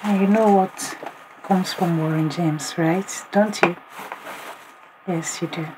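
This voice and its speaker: A woman with a hoarse, half-lost voice talks slowly and excitedly to her viewers while she unboxes birthday presents at home. She exclaims at each gift, and a clear hiss of noise runs under the recording. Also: on the low side. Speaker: vlogger. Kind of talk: casual unboxing vlog.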